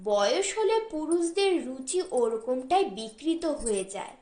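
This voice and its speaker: A haughty elder sister from an audiobook story, voiced by a woman reader. She is strict, sharp-tongued and dismissive, in a high, slow delivery. The room rings with echo. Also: sing-song, full character voice. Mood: scornful.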